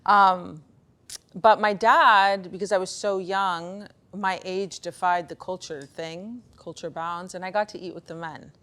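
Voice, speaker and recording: A woman speaking casually in a motivational talk, telling personal stories in an animated, conversational way. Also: fairly low pitch, unhurried. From Pakistan, of Afghan heritage. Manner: conversational, animated storytelling, acting out bits of dialogue. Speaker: speaker giving a conference talk on beliefs and performance.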